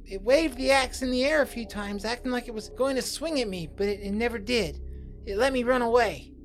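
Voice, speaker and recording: A man voicing a panicked teenage boy who stammers and rushes his words. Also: extremely high pitch, faint music behind, voice pushed up. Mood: shaken. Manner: frantic, stammering.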